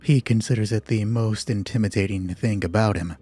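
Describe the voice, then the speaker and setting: A man narrating a scripted, dramatic horror story as host of a solo roleplay, with measured, deliberate phrasing. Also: on the low side, warm tone, breathy edge.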